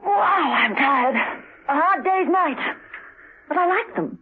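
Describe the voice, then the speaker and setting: A woman's voice: very high-pitched, expressive, slow pace, exaggerated voice. An actress playing an elegant, witty, flirtatious English lady spy in a 1970s radio drama. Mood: languid, flirtatious. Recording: tinny, phone-like vintage radio recording.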